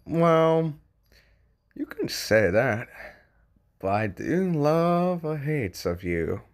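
Male cartoon imp, acted for a comic dub, brash, cocky, teasing, unhurried, animated. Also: medium pitch, heavily acted. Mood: flirty. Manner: cocky, drawn-out taunting delivery.

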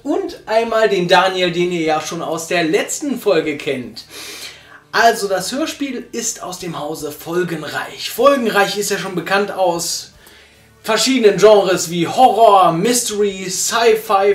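A man's voice: high voice, reverberant. A young man hosting a casual review chat on a sofa, enthusiastic and rambling with lots of fillers. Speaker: podcast host and reviewer. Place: small living room, on a sofa.

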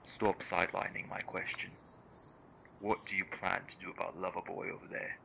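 A man's voice: sits low, monotone, normal speed, gravelly, pushed and bright, a little hiss or hum, full character voice. A man playing a cold, curt interrogator who demands answers in an audio drama. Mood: cold, threatening. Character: cold, menacing, no-nonsense male interrogator. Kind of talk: audio roleplay acting.

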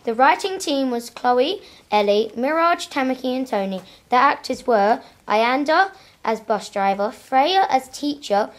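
A woman with a fairly high voice serves as the announcer for a radio play. She reads out the credits from a script. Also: animated, measured pace.

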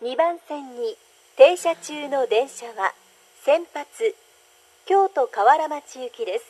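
A woman's voice: high-pitched, animated, slow, darkish tone, rough recording. A woman making formal, carefully enunciated recorded train platform announcements as a station announcer.

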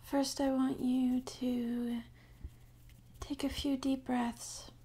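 A woman speaking softly and half-whispering in a gentle, soothing, unhurried way, as an ASMR guide leading a sleep meditation. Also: high voice, level tone. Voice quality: soft, breathy, half-whispered.

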